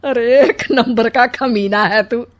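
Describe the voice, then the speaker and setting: A woman voicing an aggrieved, plotting older mother-in-law in a comic animated story, dramatic and complaining. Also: fairly high pitch, slow. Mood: conspiring.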